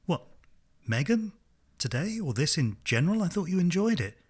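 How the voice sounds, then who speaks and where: A man reading a story, voicing a bookish, controlling loner who talks to his friend in a probing, deliberate way. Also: high-pitched, very animated, slightly rough, voice pushed up, full character voice. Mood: concerned, probing. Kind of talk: acted dialogue in a literary audiobook.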